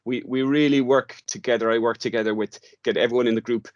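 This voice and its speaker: A man's voice: medium pitch, fairly even intonation, fast talker, no echo, lo-fi sound. A man explaining his work in an informal interview, often restarting and repeating words as he goes. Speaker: drama workshop facilitator, interview guest.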